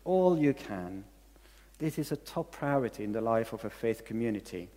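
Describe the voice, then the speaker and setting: A man's voice: mid-range voice, expressive, normal speed, mellow, in a large hall. A man from Belgium with a Belgian accent, preaching a sermon in English as a second language, earnest and repeating key phrases for emphasis. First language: not English. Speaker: pastor.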